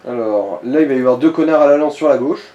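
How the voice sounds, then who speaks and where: A man's voice: medium pitch, fast talker, mellow, muffled recording. A man giving casual, crude-humoured gaming commentary as he guides a player. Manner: crude joking, swearing. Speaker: let's play commentator guiding the player. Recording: echoey room with faint background noise.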